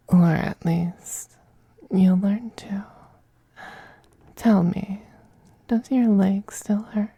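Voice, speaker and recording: A performer voicing a possessive, androgynous male vampire master in an ASMR roleplay, soft, breathy and often whispering, coaxing and condescending. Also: medium pitch, no room sound, full character voice. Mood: hungry, tender, then softly menacing. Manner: slow, purring, coaxing, condescending. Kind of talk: ASMR roleplay, intimate scripted monologue.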